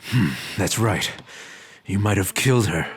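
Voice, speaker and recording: An actor playing a weary, troubled, brooding adventurer in a monster radio drama, with a low, gravelly voice. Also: male voice, a little slow, lightly acted. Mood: subdued. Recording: loud music bed behind voice.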